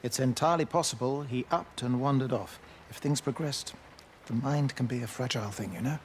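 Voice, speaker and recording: An actor playing a measured, thoughtful village doctor in a naturalistic video game drama. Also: male voice, mid-range voice, slight background noise, close to an everyday voice. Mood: puzzled, measured.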